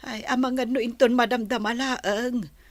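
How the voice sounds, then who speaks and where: A woman's voice: on the high side, steady tone, moderate pace, dry recording, close to an everyday voice. A woman playing a worried, apologetic elderly grandmother in a radio drama.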